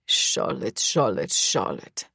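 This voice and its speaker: A woman voicing a gruff, kingly middle-aged stepfather with a deep, Germanic-tinged voice and a weary, fatherly manner. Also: slow, bright, forceful tone, no echo, clean studio sound. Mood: annoyed.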